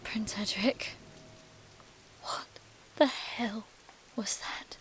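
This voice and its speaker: A woman's voice: on the high side, fairly even intonation, drawn-out words, a bit bright, a little hiss or hum, poor audio. A woman playing a sarcastic, defiant young noblewoman explorer in an audio drama, with a haughty, theatrical manner. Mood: shaken, hushed.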